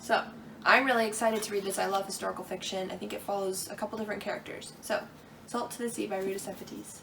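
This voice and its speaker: A woman's voice: mid-range voice, fairly even intonation, quick pace, gravelly, distant mic. A woman shows off her new books with eager, rambling chatter. She sits a little back from the mic, and a faint hiss runs under her voice. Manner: enthusiastic, rambling. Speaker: co-host. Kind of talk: casual book haul chat.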